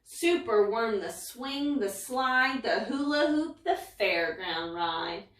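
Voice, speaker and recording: A woman's voice: on the high side, animated, slow, a bit bright, far from the mic, slight background noise. A woman reading a rhyming picture book aloud in a dramatic, emphatic way. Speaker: story reader. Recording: echoey room, away from mic.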